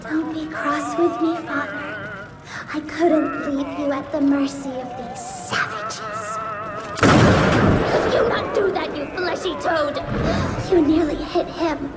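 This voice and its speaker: A woman's voice: sits high, takes it slowly, loud music behind the voice. A woman voicing a haughty, cruel young vampire villain in a video game, theatrical and menacing. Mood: scornful. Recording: echoey, under loud dramatic score.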